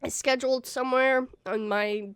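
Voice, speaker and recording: A woman's voice: sits high, fairly even intonation, measured pace, smooth, no echo, clean studio sound. A young woman with a stuffy nose, hosting a gaming channel and giving her viewers a rambling, casual update full of fillers. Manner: rambling, many fillers and restarts.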